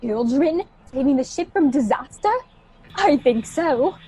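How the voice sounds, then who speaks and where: A woman's voice: high voice, lively intonation, measured pace, dark tone, rough recording. A woman playing an admiring, authoritative space officer in a radio play.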